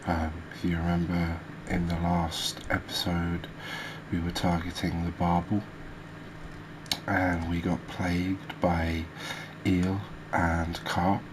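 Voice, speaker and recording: A man hosting a gaming video, giving soft ASMR commentary in a halting, hesitant manner. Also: deep voice. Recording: steady background noise.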